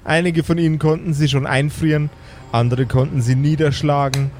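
A man's voice: on the high side, steady tone, moderate pace, a little mellow, slight background noise. A man narrating an improvised tabletop game as game master and host. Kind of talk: live improvised tabletop narration and casual hosting.

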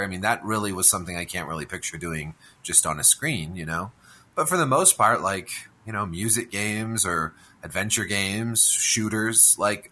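A man's voice: sits low, sharp tone. A man chatting casually as a co-host, thinking aloud in a musing, tentative way. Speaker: podcast co-host discussing video games. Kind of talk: casual chat.

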